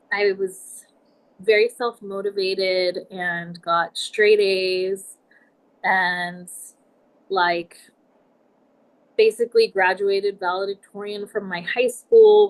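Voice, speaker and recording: A woman in her forties from Nebraska, a podcast guest telling her life story in a reflective, measured way. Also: mid-range voice, low-quality recording. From United States, Nebraska. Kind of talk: casual interview talk.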